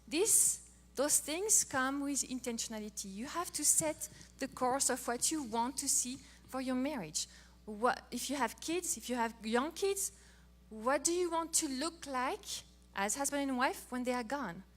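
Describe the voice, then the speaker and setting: A middle-aged woman from France with a French accent, giving a playful, animated talk on marriage as a co-host. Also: high-pitched, measured pace, few pauses. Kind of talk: casual talk to an audience.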